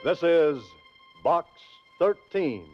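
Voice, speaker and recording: Higher-pitched vintage male radio announcer over music. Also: lively intonation, very slow. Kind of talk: formal 1940s radio announcing. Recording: muffled 1940s radio recording. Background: loud orchestral music.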